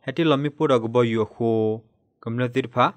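A man's voice: normal speed, dry recording. A man reading scripted radio news. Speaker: news reader.

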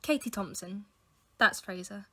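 A woman's voice: medium pitch, measured pace, fairly bright tone, husky. A young woman playing an anxious, insistent university student in a fan audio drama, urgent and questioning. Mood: curious. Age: young adult.

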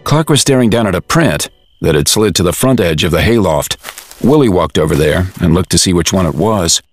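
A man's voice: low voice, lively intonation, fairly quick, faint music behind. A man reading scripted narration for a dramatized audiobook, as storyteller.

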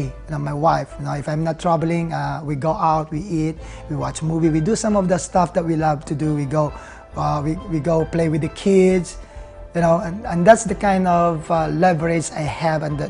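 A man in his thirties from the Philippines with a Filipino accent, telling his own story in an interview, earnest and halting with many fillers. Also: fairly high pitch, creaky. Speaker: nurse turned network marketer. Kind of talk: interview testimonial. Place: living room, seated on a leather couch. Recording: soft music under the voice.

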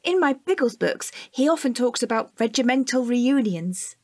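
Woman acting high, husky, inquisitive British child. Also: expressive, moderate pace, darkish tone, no room sound, studio quality. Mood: eager, curious. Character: curious, earnest young child questioning their father. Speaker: actor in an audio drama. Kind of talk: conversational audio drama.

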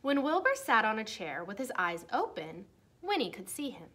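A young woman reads a children's picture book aloud in an expressive, deliberate way for young listeners. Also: on the high side, slightly rough. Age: young adult. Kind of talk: storybook read-aloud. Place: small decorated room indoors.

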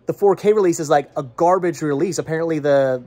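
A man in his thirties hosting a casual, chatty vlog in an excitable way, often repeating his words, recorded on a handheld camera in a shop. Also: on the high side, fairly quick. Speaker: vlog host. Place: indoor cluttered video and game shop. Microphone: handheld camera at arm's length.

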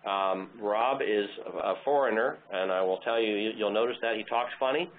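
A man with an American accent hosting a webinar informally, in a halting, casual, lightly teasing way. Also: fairly low pitch. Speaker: webinar host. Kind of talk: informal introduction. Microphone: phone or web conference line. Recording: noisy phone-like line.

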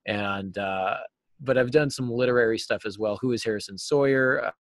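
A man's voice: mid-range voice, normal speed, dry recording. A middle-aged man speaking as an interview guest, explaining things casually like a teacher. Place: classroom, indoors. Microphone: computer mic on a video call.

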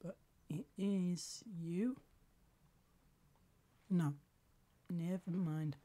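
A hoarse woman with a lingering cold streams a game, clearing her throat between slow, drawn-out remarks. Her voice sits low and sounds dark and mellow. She mutters little halting asides to herself as she plays. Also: steady tone. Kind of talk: casual live game commentary.

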